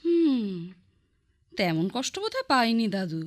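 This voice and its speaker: An anxious young granddaughter. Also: female voice, fairly high pitch, sing-song, a little slow. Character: grieving young granddaughter, gentle. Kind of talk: radio drama acting.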